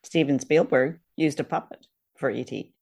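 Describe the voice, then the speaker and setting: A woman's voice: extremely low pitch, normal speed, darkish tone, breathy edge. A woman chats as the co-host of a podcast. Her delivery is casual and conversational, as she works out her thoughts while speaking. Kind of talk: casual discussion of a radio drama.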